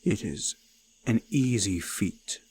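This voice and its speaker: A man voicing an ancient, menacing forest god in slow, archaic, deliberate speech that often sinks to a gravelly whisper, in a folk horror roleplay. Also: lowish voice, lively intonation, darkish tone, exaggerated voice. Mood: cold menace. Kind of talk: scripted immersive roleplay monologue, folk horror. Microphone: close binaural microphone.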